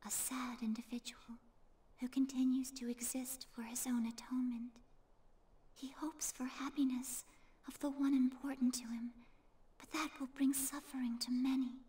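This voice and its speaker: A woman playing a gentle, sorrowful otherworldly girl in a game cutscene, speaking in a soft, breathy, measured voice. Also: sits high, flat delivery, close to an everyday voice. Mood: solemn, sorrowful. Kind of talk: dramatic video game cutscene acting.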